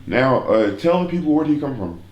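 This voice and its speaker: A man's voice: medium pitch, very animated, fairly quick, darkish tone, lo-fi sound. A man hosting a casual interview, talking in an informal, slangy and profane way. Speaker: host interviewing musicians. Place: living room of a villa. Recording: echoey room.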